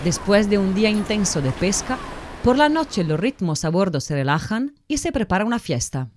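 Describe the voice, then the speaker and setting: A woman's voice: medium pitch, very animated, moderate pace, very noisy. A woman reading scripted story narration, addressing the listeners directly like a storyteller.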